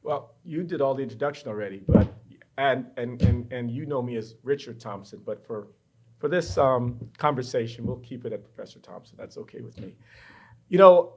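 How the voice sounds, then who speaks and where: A middle-aged man from the Bahamas speaks as a professor guest in a candid interview. He tells his own story in a reflective, personal way, at an ordinary pitch for a man. He is recorded in a university studio. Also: lively intonation, moderate pace, husky, low-quality recording.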